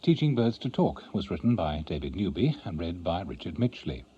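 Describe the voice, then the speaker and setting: A man's voice: deep voice, very animated, fairly quick, warm tone, breathy edge, muffled recording. A man making a scripted radio announcement. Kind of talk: scripted programme credits announcement.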